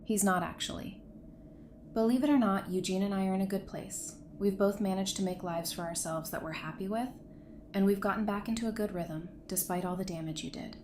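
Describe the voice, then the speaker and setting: Woman acting a guarded, no-nonsense doctor, medium pitch. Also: a little fast, a little mellow, close to an everyday voice. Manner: firm, dry, matter-of-fact. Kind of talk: audio drama dialogue. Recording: faint background noise.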